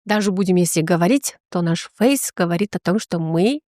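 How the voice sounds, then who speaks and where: A woman's voice: lowish voice, expressive, moderate pace, dark tone, no room sound, clean studio sound. A woman hosting a podcast interview, chatty, laughing often and stretching out her phrases. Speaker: podcast host. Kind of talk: casual conversational interview.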